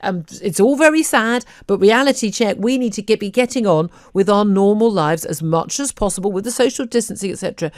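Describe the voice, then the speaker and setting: A woman radio talk show host, arguing her point forcefully in a studio interview. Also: mid-range voice, brisk, hardly any pauses, no echo.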